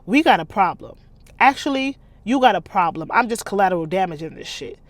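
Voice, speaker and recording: Urban-fiction female hustler read by a woman, American, sharp-tongued, fearless, scornful and commanding. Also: medium pitch, animated, brisk, no room sound, natural voice. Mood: blunt. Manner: scornful, bossy, blunt.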